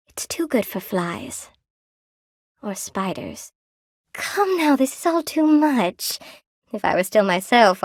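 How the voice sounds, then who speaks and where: A woman's voice: mid-range voice, no room sound, studio quality. Wistful, courteous spider woman, closely recorded. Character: wistful, courteous spider woman, cursed weaver, proud yet lonely. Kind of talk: video game character dialogue.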